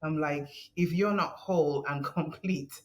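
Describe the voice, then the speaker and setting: A woman guest with a deep voice, talking in an earnest, halting way with frequent restarts and soft laughs. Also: poor audio. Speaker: podcast guest. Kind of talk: conversational interview.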